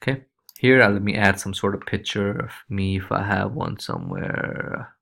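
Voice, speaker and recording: Casting: male tutorial presenter, lowish voice, informal and loose, walking viewers through on-screen steps. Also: huge pitch swings, normal speed, vocal fry, fairly bright tone. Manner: informal, loose, repeats check-in tags like okay and right. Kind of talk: casual screencast walkthrough.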